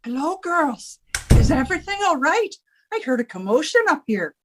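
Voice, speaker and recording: A woman's voice: high voice, big pitch swings, normal speed, noisy background. A woman playing a fussy, coquettish older landlady in a 1950s-style radio comedy. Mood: cheery, nosy.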